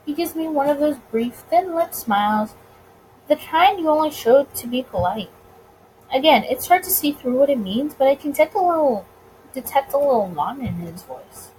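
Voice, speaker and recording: A woman reading a visual novel aloud in an expressive narrating style, occasionally tripping over words. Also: sits high, moderate pace, slight background noise, low-quality recording.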